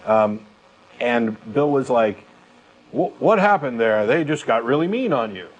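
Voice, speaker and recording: A man's voice: mid-range voice, big pitch swings, a little slow, highs cut off. A man in his late thirties co-hosting a talk show, giving opinions in an emphatic, blunt, casual way. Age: late 30s.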